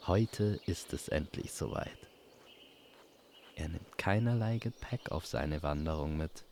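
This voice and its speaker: A man with a very low, gravelly voice tells a fantasy tale as a storyteller. His delivery is calm and very slow, soothing like a bedtime story. Faint ambience sits behind the voice. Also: a little hiss or hum. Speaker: storyteller and host.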